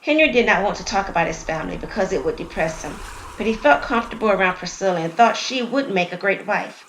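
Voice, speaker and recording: A woman narrates a scripted tale as a storyteller, in a middle-pitched voice. There is some faint noise and ambience behind her recording. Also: animated, fast talker, a bit bright, echoey room, poor audio. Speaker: storyteller, writer and performer.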